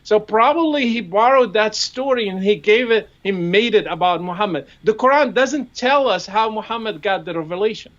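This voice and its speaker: A man with an Arabic accent speaks in a notably high voice, stacking up rhetorical questions and repeating words as he works through an argument. His audio comes through dull and lo-fi, like a remote call. He speaks Arabic natively. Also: moderate pace, hardly any pauses, a bit bright. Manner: repeats words while building points, asks rhetorical questions. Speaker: guest commentator. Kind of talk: discussion on a live show.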